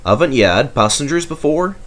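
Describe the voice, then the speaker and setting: A man's voice: fairly high pitch, sing-song, a little fast, fairly bright tone, pitched above the performer's own voice. Man voicing an eager, curious storybook engine. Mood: puzzled. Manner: questioning, eager. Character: male Great Western engine, friendly, curious, excitable.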